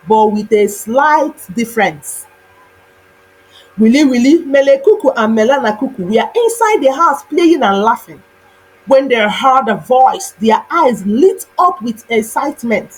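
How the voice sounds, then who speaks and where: A woman storyteller with a high voice reads a children's folktale. Her delivery is very unhurried and deliberate, with dramatic emphasis on the telling phrases. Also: very animated, slightly rough, poor audio. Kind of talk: scripted children's folktale narration.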